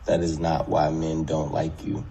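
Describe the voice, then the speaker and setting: A man in a commentary clip, dismissing someone flatly, with contempt and profanity. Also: a bass voice, a little slow, gravelly, a little hiss or hum.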